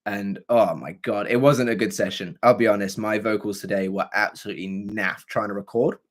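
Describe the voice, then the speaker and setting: A hoarse young man with a scratchy, throaty voice, hosting a livestream and chatting casually with viewers. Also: on the low side, fairly even intonation, a little fast. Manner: chatty and rambling, with frequent fillers and throat clearing. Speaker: livestream host. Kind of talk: casual livestream chat answering viewer comments.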